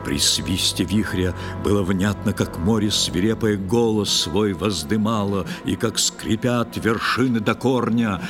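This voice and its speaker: A man narrating an audio play in scripted verse, with a theatrical, expressive literary delivery. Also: fairly low pitch, measured pace, few pauses, over music.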